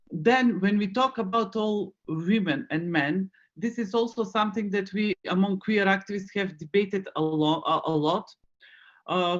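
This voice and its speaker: A man speaking as an activist on an online panel, measured and thoughtful with many fillers, over a lo-fi call line. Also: high voice. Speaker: queer rights activist on a panel.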